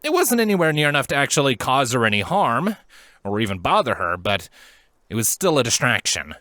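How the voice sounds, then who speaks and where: A man narrating a scripted story in an expressive, dramatic manner. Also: mid-range voice, a little fast, dry recording, studio quality. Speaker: fan fiction reader, narrator.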